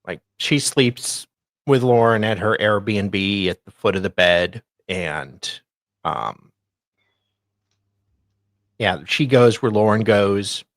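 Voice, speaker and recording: A man's voice: fairly low pitch, slow pace, pauses often, no room sound, clean studio sound. A man in casual conversation as a guest, agreeing readily and telling things in a hesitant way. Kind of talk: casual chat.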